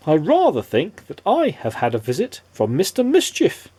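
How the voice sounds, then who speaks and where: A man's voice: on the high side, huge pitch swings, normal speed, mellow, breathy edge, pitched above the performer's own voice, exaggerated voice. A man doing Mister Happy, rueful and polite. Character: weary, cheerful little storybook man.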